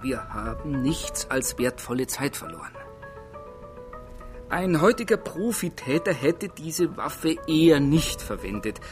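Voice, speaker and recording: An actor playing a brusque, dismissive chief investigator in a radio drama, speaking formally and in a clipped way. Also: male voice, on the high side, expressive, a little slow, over music.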